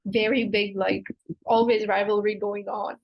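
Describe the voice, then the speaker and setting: A woman's voice: medium pitch, little pitch movement, measured pace, creaky, muffled recording. Chatty young woman from Hong Kong. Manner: chatty, fills with "like". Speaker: PhD student guest. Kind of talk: casual interview chat. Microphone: video call.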